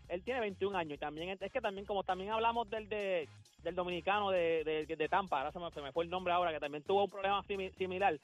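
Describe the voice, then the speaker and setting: A man with a Puerto Rican accent talking sports casually on the radio, slangy and repeating phrases for emphasis. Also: high-pitched. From Puerto Rico.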